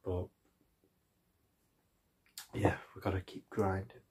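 A tired man speaking quietly and drowsily, trailing off as he signs off to viewers. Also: low-pitched, flat delivery, slow, slight background noise. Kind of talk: casual sign-off to viewers.

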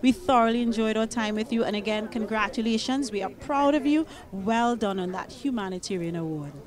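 A woman's voice: a little high, brisk. A young woman hosting a red carpet interview, playful and gushing, speaking into a handheld mic outdoors. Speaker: entertainment interviewer. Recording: outdoor event with crowd noise. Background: crowd chatter.

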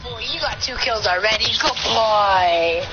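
A man's voice: sits high, a little slow, dull audio, over loud music. A teenage boy streaming a shooter game, teasing and trash-talking his friend in casual banter.

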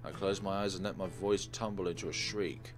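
Male let's play host, lowish voice, narrating a visual novel with casual asides over game music. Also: steady tone, fairly quick. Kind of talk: dramatic read-aloud of a visual novel with casual commentary.

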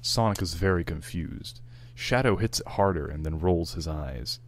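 A man's voice: deep voice, normal speed. A man narrating fan fiction, reading out the stage directions.

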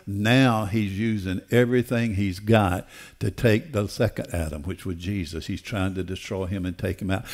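Older male preacher, fairly deep voice, emphatic and deliberate delivery from a church stage. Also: a little mellow. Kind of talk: sermon. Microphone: headset.

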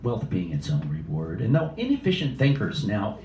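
A man in his sixties reading poetry live at an open mic in a measured, deliberate way, with casual asides. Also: fairly low pitch, wildly swooping pitch, mellow. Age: 60s. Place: small indoor cafe open mic. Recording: roomy, distant cafe live stream with noise.

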